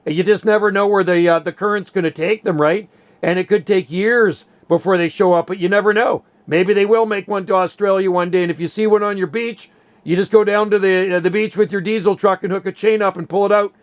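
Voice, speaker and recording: A man with a noticeably high voice talks casually as a radio operator. His tone is very smooth and the sound is dry. He rambles along in an easygoing, storytelling way. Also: fairly even intonation, fairly quick, no echo.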